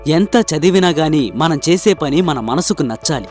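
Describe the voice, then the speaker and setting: A man's voice: on the high side, animated, normal speed, a little mellow, faint music behind, lightly acted. A man voicing an earnest, hopeful young inventor in a cartoon moral story, explaining himself sincerely. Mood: defensive, proud.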